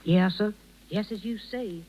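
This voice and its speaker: An actress playing a fussy, outspoken, loyal servant with a folksy American Southern accent in a 1930s radio drama. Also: female voice, medium pitch, unhurried, close to an everyday voice. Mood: deferential. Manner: folksy dialect, scoffing and fussing. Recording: dull 1930s radio recording.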